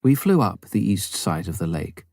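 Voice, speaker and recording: Low-voiced man reading a memoir aloud. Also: big pitch swings, moderate pace, a little mellow, dry recording, studio quality. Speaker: audiobook narrator. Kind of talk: scripted narration of a missionary memoir.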